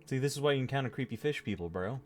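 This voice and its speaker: A man's voice: mid-range voice, animated, very fast, soft background music. Casual, sweary male streamer. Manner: blunt, slangy, sweary. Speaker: let's play streamer. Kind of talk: casual game commentary.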